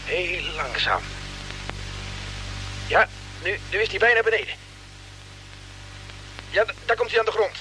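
A man's voice: high-pitched, very animated, unhurried, pauses often, sharp tone, heavily acted, rough recording. A male actor playing a dutiful spaceship crewman reporting to his captain in a radio play. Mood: tense reporting. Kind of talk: mid-century radio play acting. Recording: old radio recording with faint hum.

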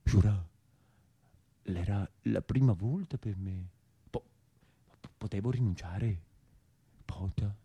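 A man's voice: fairly low pitch, slow, pauses often, warm tone, husky, dry recording, exaggerated voice. An actor playing a bashful, clumsy young northern Italian man with a Lombard accent, stammering and apologetic in a theatrical monologue. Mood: rambling, pleading.

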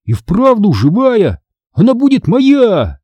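Man as a whiny, high-pitched grabby little boy. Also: wildly swooping pitch, slow, warm tone, slightly rough, no room sound, muffled recording, full character voice. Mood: greedy. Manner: whining, exclamatory.

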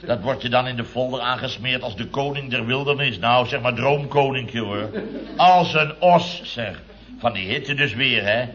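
An actor playing a grumbling middle-aged building contractor boss who spins chatty anecdotes in a vintage radio comedy. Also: male voice, medium pitch, moderate pace, fairly bright tone, close to an everyday voice. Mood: dismissive grumbling. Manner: chatty, grumbling anecdote telling with tag words. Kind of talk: 1960s-70s Dutch radio comedy acting. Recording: dull vintage radio recording.

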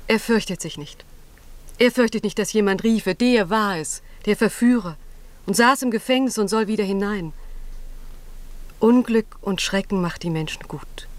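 An actress playing a devout, rapturous young mother in a 1950s German radio play, speaking in a solemn, lyrical, often hushed way. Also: medium pitch, no echo, natural voice.

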